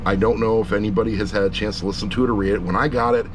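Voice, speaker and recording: A man's voice: on the low side, fairly even intonation, very fast, background noise. A middle-aged man giving a casual, rambling review, with blunt, jokey asides. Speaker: audiobook reviewer.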